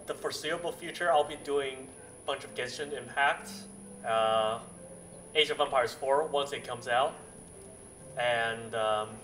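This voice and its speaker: A man's voice: medium pitch, a bit bright, a little hiss or hum. A man chatting casually as he streams a game, hesitant and thinking aloud. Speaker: live streamer playing a strategy game. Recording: distant mic with game music underneath. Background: game music and sounds.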